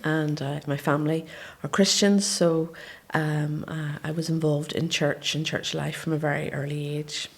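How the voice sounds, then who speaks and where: A woman from Northern Ireland, a missionary guest speaking softly and hesitantly about her background. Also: low-pitched, fairly even intonation, normal speed, husky. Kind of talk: personal testimony.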